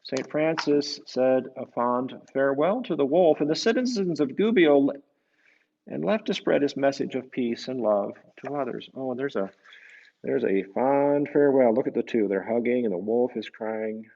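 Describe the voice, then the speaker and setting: A man reading a picture book to children, gently explaining it and often tagging questions onto his remarks. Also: medium pitch, lively intonation, moderate pace, creaky, lo-fi sound. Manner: gentle, explanatory, asks the listeners tag questions. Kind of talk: storybook read-aloud with conversational asides.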